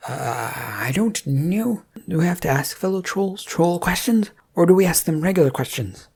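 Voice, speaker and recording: A woman's voice: on the low side, animated, normal speed, gravelly, a little mellow, husky, voice pushed up, heavily acted. A woman voicing a deferential, bumbling troll henchman in a fantasy story, hesitant and questioning. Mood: confused. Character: deferential, bumbling troll underling, eager to please.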